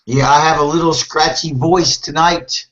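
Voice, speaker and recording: A man with a scratchy, hoarse voice from a cold, patiently leading a casual English conversation over an online call. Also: on the high side, steady tone, moderate pace, fairly bright tone, highs cut off.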